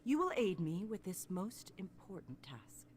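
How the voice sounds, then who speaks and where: A woman voicing a commanding, perceptive mentor in a video game, speaking in a formal, deliberate and imperious way. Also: medium pitch, very animated, a little mellow. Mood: appraising, satisfied. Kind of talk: video game character acting. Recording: faint background noise and game ambience.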